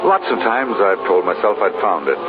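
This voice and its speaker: A man's voice: fairly low pitch, big pitch swings, moderate pace. An actor playing a charming, world-weary male film star with self-mocking wit in a 1940s radio drama. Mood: tender, regretful. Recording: tinny 1940s radio recording, loud music behind.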